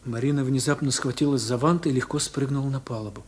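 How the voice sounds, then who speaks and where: An actor playing a reflective young sailor who narrates lyrically and expressively in a 1950s Soviet radio drama. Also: male voice, mid-range voice, quick pace, natural voice. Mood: descriptive. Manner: expressive, literary, wistful. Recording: old 1950s radio recording.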